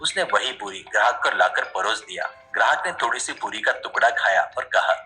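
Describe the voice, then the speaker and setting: A man's voice: fairly low pitch, animated, fairly quick, sharp tone, faint music behind. A man narrating a scripted cartoon story in an expository storybook manner.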